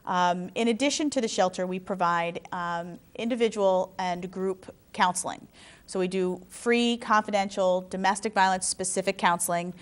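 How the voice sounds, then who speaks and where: A woman's voice: mid-range voice, normal speed. A woman guest on a talk show, earnestly explaining a domestic violence program's services. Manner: earnest, informative, some restarts. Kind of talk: interview answers.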